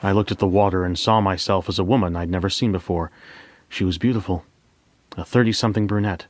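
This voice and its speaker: A man's voice: low-pitched, steady tone, quick pace. An actor playing a lovelorn, wounded man who confesses his loss in a dramatic first-person audio story monologue. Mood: wistful, puzzled.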